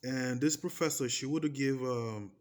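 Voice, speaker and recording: A man's voice: mid-range voice, a little slow. A man in his thirties whose first language is Portuguese, speaking English with a Portuguese accent in a casual personal monologue. He talks emphatically, restarting phrases and pressing his points with repeated checks like "okay?". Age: 30s. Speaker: vlogger.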